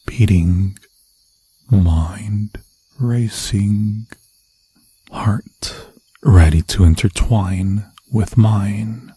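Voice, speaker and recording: A man performs a lovesick, menacing demon lord for ASMR horror roleplay. The voice is low and very husky, often falling to a whisper. He speaks slowly and seductively in grand villain phrases, close and dry on the microphone. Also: warm tone, no room sound, heavily acted. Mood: possessive, hushed. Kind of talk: ASMR fantasy horror roleplay, theatrical monologue.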